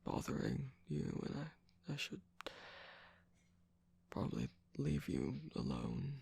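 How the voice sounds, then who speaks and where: Someone doing a flirty teasing villain guy for asmr roleplay, super breathy n gravelly, swoopy pitch, whispers a lot. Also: huge pitch swings, dark tone, no room sound, exaggerated voice. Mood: feigned hurt, coaxing. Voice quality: breathy, husky, often whispering. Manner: coy, teasing, halting delivery.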